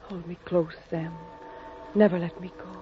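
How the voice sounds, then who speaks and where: A woman's voice: on the low side, fairly even intonation, moderate pace, a little hiss or hum, close to an everyday voice. An actress playing a lovestruck young woman pleading romantically, in 1940s radio melodrama style. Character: lovestruck, pleading young female femme fatale. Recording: muffled vintage radio, faint music behind.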